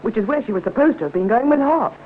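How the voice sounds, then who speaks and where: A woman's voice: fairly high pitch, expressive, fast talker, a little hiss or hum, natural voice. An actress playing a dutiful, perceptive woman police sergeant in a 1950s-style radio drama, with a polite, deferential manner. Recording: tinny vintage radio recording.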